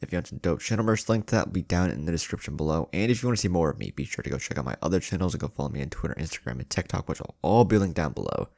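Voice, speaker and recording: A man's voice: deep voice, lively intonation, rapid-fire, vocal fry, hardly any pauses, a little mellow, dry recording, clean studio sound. A man hosting a story channel, reading scripted fan fiction in a dramatic narrator's delivery.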